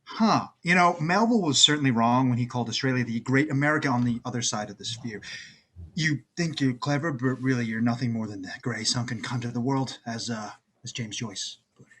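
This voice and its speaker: A man's voice: medium pitch, brisk, a bit bright, slight background noise, close to an everyday voice. A man playing a nervous, bookish, principled newcomer at a poker game, hesitant, polite and articulately sarcastic in a drama table read. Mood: dryly scornful.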